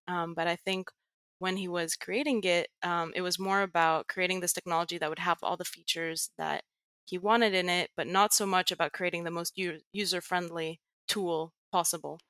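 A woman's voice: fairly low pitch, fairly even intonation, normal speed, a bit bright, no echo. A young adult woman with an American accent, explaining things patiently as a podcast guest, with frequent fillers. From Greece. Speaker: guest, tech writer and educator. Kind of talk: podcast interview.

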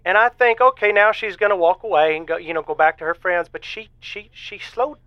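A man playing a shy, lovelorn caller to a radio show, telling his story in a halting, folksy way in an audio drama. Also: high voice, no room sound, natural voice. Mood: bashful wonder. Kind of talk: semi-improvised audio drama acting. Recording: dull lo-fi phone-in sound.

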